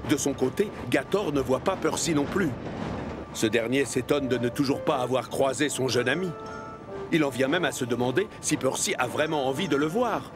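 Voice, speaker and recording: A man narrating a children's story as scripted narration. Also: a little high.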